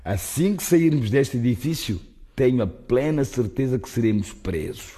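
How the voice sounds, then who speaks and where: A man playing a fallen rich businessman on the run in a radio drama, wary, grave and bitter, with a husky voice. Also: mid-range voice, animated, normal speed, dark tone. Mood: wary, self-pitying.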